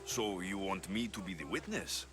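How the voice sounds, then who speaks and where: A blunt, sardonic Greek mercenary. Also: male voice, low voice, lively intonation, normal speed. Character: blunt tough male Greek mercenary, dry and pragmatic. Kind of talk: video game cutscene dialogue. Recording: studio voice with game music.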